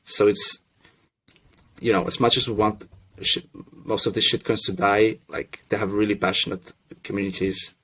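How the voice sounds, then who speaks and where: A young man from Russia who grew up in Finland, a podcast guest telling his story in a relaxed, self-deprecating way with many restarts. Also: on the low side, normal speed, breathy edge, poor audio. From Russia, raised in Finland. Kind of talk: casual podcast chat.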